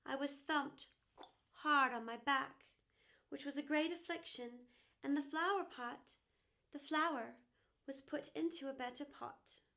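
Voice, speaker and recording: A woman's voice: high-pitched, measured pace, creaky, sharp tone, heavily acted. A woman voicing a proud porcelain teapot, grand and wistful, in a children's storybook reading. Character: proud, self-important porcelain teapot, later humbled and wistful. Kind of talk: children's storybook character narration.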